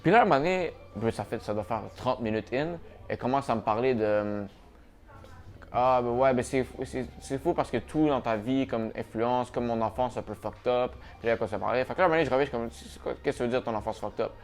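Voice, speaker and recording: A man's voice: mid-range voice, fast talker. A young man with a Quebec French accent, hosting a casual chat and telling a story, slipping English slang into his French. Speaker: podcast host.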